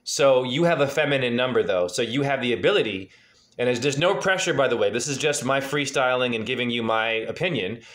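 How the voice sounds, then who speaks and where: A man in his forties talks in a mid-pitched voice over a webcam call from a dim room at home. He gives a freewheeling, earnest reading and keeps asking probing questions. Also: a little fast, a bit bright. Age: 40s. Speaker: spiritual numerology reader. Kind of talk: casual video-call name reading. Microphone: webcam on a video call.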